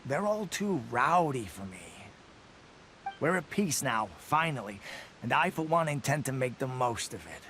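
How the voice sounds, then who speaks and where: An actor playing a drowsy, easygoing soldier who talks in a languid, offhand way. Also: male voice, medium pitch, big pitch swings, a little slow, pushed and bright, slight background noise. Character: lazy drowsy soldier who keeps to himself.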